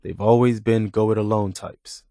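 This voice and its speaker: Low-voiced, earnest American soldier. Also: male voice, fairly even intonation, measured pace, creaky, dark tone, dry recording, studio quality. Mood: earnest, steady. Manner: sincere, plain-spoken, conversational. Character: earnest, steadfast militia soldier companion, adult man. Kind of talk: scripted video game companion dialogue.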